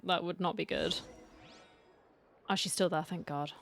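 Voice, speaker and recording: A woman's voice: lowish voice, fairly even intonation, a little slow, fairly bright tone, no room sound. A woman giving casual playthrough commentary as she reacts to a story game, with wry little asides. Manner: wry asides, reading choices aloud. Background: faint game music.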